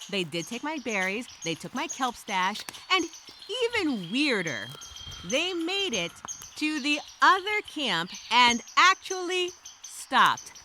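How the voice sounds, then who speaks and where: A woman's voice: high voice, very animated, slow, sharp tone, a little hiss or hum, full character voice. A woman voicing an anxious, rambling castaway who narrates a story as a dramatic, theatrical first-person journal. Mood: worried, exasperated. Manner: theatrical, overthinking, repeats herself, self-questioning.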